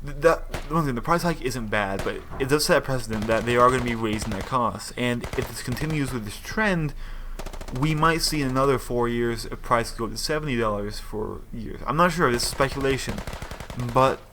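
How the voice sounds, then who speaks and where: A man's voice: mid-range voice, a little fast, background noise. A young man giving casual, opinionated gaming commentary, rambling and restarting his sentences as he thinks aloud.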